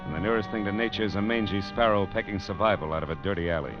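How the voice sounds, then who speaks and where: A sardonic American private eye played by a man in a mid-century radio crime drama, speaking in a low, dry, hard-boiled voice. He sounds world-weary and quick with a wisecrack. The old recording is dull, with music playing prominently. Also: lightly acted. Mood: wry, world-weary. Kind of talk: 1940s-50s American radio detective drama acting and narration.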